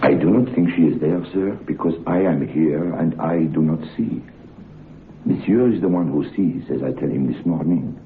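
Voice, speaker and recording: A jittery French head waiter with a heavy French accent and halting, broken English. His voice sits extremely low and gravelly. It comes through a tinny, phone-like old radio recording. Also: slight background noise. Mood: confiding. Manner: broken English, urgent pleading. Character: nervous French male head waiter, earnest. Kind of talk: old-time radio drama acting.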